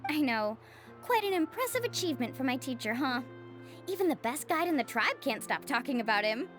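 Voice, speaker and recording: An actress playing an earnest, upbeat young guide in a video game, sincere and conversational. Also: female voice, sits high, sing-song, fairly quick, over music. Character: earnest, upbeat young female guide, loyal to her teacher.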